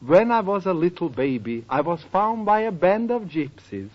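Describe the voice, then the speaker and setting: An actor playing a wisecracking, eccentric old professor who teases with punchlines, in 1940s radio sitcom acting. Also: male voice, high-pitched, lively intonation, moderate pace. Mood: joking. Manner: joking, teasing punchlines. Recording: dull vintage radio with audience.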